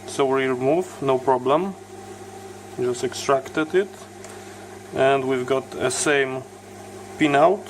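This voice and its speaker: Male repair-video host, halting and unhurried step-by-step delivery, mid pitch, steady background noise. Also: poor audio. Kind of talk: hands-on tutorial commentary.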